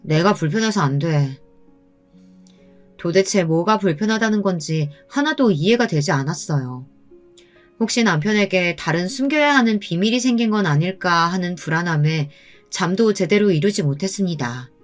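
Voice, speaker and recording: A woman reading a scripted first-person story in a measured, polite storytelling delivery. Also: fairly low pitch, animated. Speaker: story channel narrator. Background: background music.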